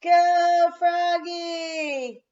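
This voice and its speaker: A woman playing a hollering T-ball coach and cheering mom from a storybook, with shouted, drawn-out calls on slightly lo-fi audio. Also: extremely high pitch, flat delivery, voice pushed up, exaggerated voice. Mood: rousing.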